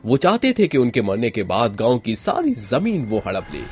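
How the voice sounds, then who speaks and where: A man voicing a grave tantric holy man in a cartoon story, explaining rapidly and emphatically. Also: mid-range voice, huge pitch swings, a little mellow, faint music behind. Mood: grave, revealing. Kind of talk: cartoon moral story dialogue.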